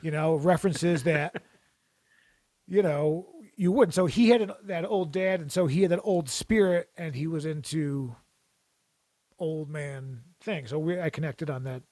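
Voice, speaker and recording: A man with a somewhat high voice rambles through jokey stories in casual chat, often restarting his sentences. The sound is close and dry, with no room around it. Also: unhurried, warm tone, no room sound.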